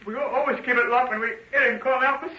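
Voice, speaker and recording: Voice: high voice, fairly even intonation, racing, bright, forceful tone. A performer in an old-time radio play as a timid, polite young kitchen boy, apologetic and stammering. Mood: nervous, apologetic. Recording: echoey, muffled old radio recording.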